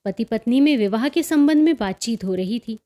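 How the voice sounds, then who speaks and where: A woman reading a literary story as an audiobook narrator, in an expressive, enunciated way. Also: fairly high pitch, normal speed.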